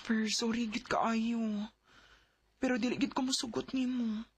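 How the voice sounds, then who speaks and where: A Filipina with a Bisaya accent playing a gentle but frank young woman who is reluctant about being courted, in a radio drama. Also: a little high, steady tone, unhurried, breathy edge. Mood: apologetic, wary.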